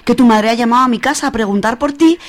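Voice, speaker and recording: Woman acting exasperated young woman, highish voice. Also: fast talker, slight background noise, close to an everyday voice. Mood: exasperated, urgent. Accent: Spain. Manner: impatient, urgent, colloquial. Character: bossy, exasperated young woman scolding her friend. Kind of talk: amateur radio drama acting.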